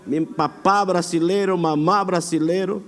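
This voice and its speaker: A man preaches in a strikingly high voice that rings through a reverberant hall. His pace is slow, with words stretched out for emphatic exhortation. His Argentine border Spanish carries a clear Brazilian accent. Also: fairly even intonation, fairly bright tone. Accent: Brazilian-influenced border Argentine Spanish. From border area between Argentina and Brazil. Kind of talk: Pentecostal sermon. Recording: echoey hall with long reverb.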